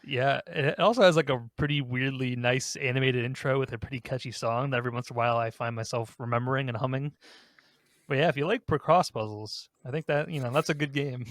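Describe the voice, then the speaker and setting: A man with a mid-pitched voice in casual conversation, recorded dry with no room sound. He talks like a podcast co-host chatting about games with friends. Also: fast talker, no room sound.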